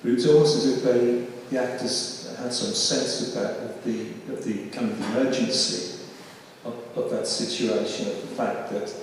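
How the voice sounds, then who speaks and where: A man's voice: medium pitch, steady tone, moderate pace. Reflective man, distant in an echoey hall. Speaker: panel speaker. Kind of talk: reflective discussion. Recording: very echoey hall, far mic, faint noise.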